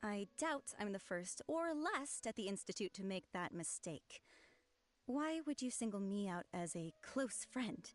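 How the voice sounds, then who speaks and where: A woman's voice: mid-range voice, expressive, unhurried, lightly acted. A young woman playing a lovelorn, defensive researcher in a video game, huffy and dramatic. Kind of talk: anime-style video game voice acting.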